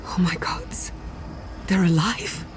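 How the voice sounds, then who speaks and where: A woman's voice: on the low side, steady tone, slow, whispered. An actress playing a headstrong woman adventurer in a video game, shaken and intense, bluntly demanding answers. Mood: awed, alarmed. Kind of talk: video game character acting. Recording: music behind the voice. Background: music.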